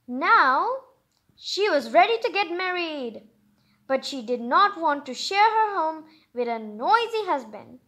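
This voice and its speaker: A woman's voice: high-pitched, very animated, slow, pushed and bright. A woman hosting a storybook read-aloud, breaking in with chatty, exclaiming asides in an informal way. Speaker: host of a story reading channel.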